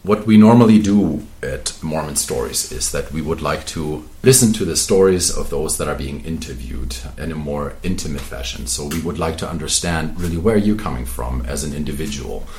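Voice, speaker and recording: A man's voice: very deep voice, lively intonation, moderate pace, hardly any pauses, darkish tone, echoey room. A man hosting an interview podcast, talking earnestly at length with many fillers. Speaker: podcast host.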